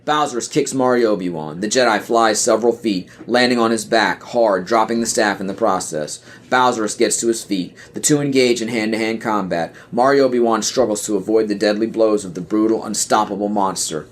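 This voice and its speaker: A man's voice: lowish voice, brisk, few pauses. A man reading scripted screenplay narration and scene headings for a podcast audio play.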